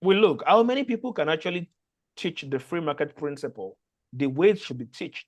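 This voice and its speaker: A Nigerian man whose first language is not English addresses a new fellowship cohort. His voice sits a little high, and he talks earnestly, restarting words and repeating phrases as he goes. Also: big pitch swings, brisk. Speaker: fellowship organizer. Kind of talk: onboarding talk to a fellowship cohort.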